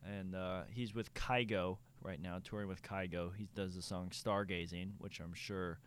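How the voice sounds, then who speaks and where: A man's voice: deep voice, steady tone, moderate pace, a little hiss or hum. A young man co-hosting a podcast, chatty and laughing often as he questions his guest. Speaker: podcast co-host. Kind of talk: casual podcast chat.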